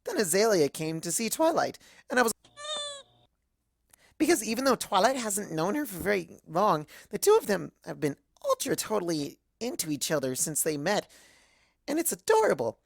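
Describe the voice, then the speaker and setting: A woman's voice: medium pitch, very animated, moderate pace, vocal fry, no room sound, clean studio sound. A woman voicing a hyper, cheerful, scatterbrained cartoon pony who rambles gossipy first-person fan fiction narration. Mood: chatty, rambling. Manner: bubbly, rambling, gossipy, exclamatory.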